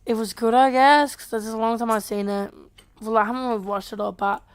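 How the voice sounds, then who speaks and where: A woman's voice: a little high, steady tone, a little fast, clean tone. A woman co-hosting a podcast in casual chat, informal and blunt, often repeating her phrases. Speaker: podcast co-host.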